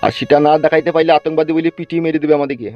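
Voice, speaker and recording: A man's voice: on the high side, fairly even intonation, very fast, highs cut off, music in the background, lightly acted. A man voicing a cautious, worried homeless uncle in a comedy cartoon. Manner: hesitant, cautionary. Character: cautious, worrying uncle, homeless desert wanderer.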